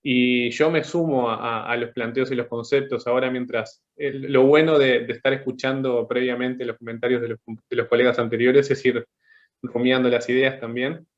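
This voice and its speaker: Halting man, flat delivery. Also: medium pitch, highs cut off. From Uruguay. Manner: thoughtful, halting, searching for words. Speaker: artist guest. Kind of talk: panel discussion. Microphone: video call.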